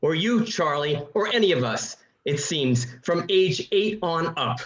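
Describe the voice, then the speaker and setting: A man's voice: a little high, expressive, unhurried, muffled recording. A man playing an exasperated, sarcastic neighbor arguing for reason in a radio drama, in a roomy home recording. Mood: indignant. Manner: sarcastic, indignant. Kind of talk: radio play acting.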